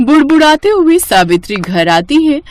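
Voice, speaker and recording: A woman narrating a scripted cartoon family story in an expressive storytelling manner. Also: high-pitched, normal speed.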